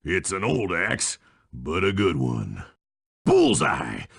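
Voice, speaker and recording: An actor voicing a boastful, bullying old-time lumberjack villain in a cartoon game, raspy and taunting with a folksy rustic North American drawl. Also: male voice, sits low, huge pitch swings, slow, fairly bright tone, dry recording, studio quality, exaggerated voice. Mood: mocking, gloating. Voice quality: gravelly, raspy.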